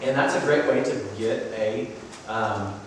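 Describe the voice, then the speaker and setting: Booming man in an echoey hall. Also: unhurried, a little hiss or hum. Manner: booming, loud voice; relaxed and conversational, with frequent asides. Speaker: conference speaker. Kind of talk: presenting a talk with audience questions. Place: conference session room. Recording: very echoey room, voice from far off.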